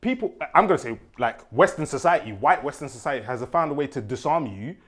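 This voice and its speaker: A young man, co-hosting a panel and talking in an animated way, quick to jump in. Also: medium pitch. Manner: rapid, animated, interjects and laughs while arguing. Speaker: panel co-host. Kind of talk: casual panel discussion.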